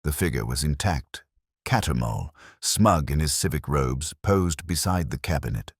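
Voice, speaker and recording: Very deep-voiced man narrating a mystery. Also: lively intonation, slightly rough, no echo, studio quality. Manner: measured, literary storytelling. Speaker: audiobook narrator. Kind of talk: scripted mystery story narration.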